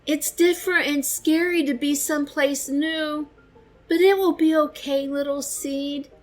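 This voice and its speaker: A woman voicing a small, anxious but caring young male fox in a gentle storybook manner. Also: high-pitched, steady tone, a little slow, soft background music, pitched above the performer's own voice. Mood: nervous reassurance.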